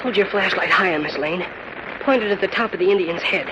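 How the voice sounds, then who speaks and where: A man's voice: high-pitched, brisk, natural voice. An actor playing a nervous, hesitant young male cub reporter in a 1940s radio drama. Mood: nervous, spooked. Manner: hesitant, stammering. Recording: noisy muffled old radio recording.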